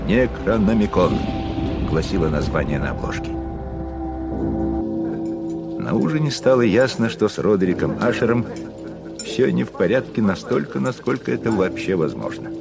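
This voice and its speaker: A man narrating a gothic radio play in a measured, atmospheric way. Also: low-pitched, creaky.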